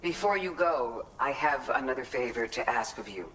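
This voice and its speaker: A man's voice: mid-range voice, expressive, moderate pace, noisy background, natural voice. Man playing a discreet, courteous game character. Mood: guarded, polite. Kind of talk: dramatic video game cutscene acting.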